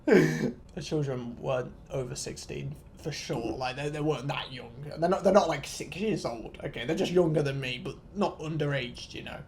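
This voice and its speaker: Casting: vlogger in their twenties, medium-pitched voice, casual storyteller, rambling, self-deprecating, sweary. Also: normal speed, darkish tone, slight background noise. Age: 20s. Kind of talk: casual storytelling rant to viewers.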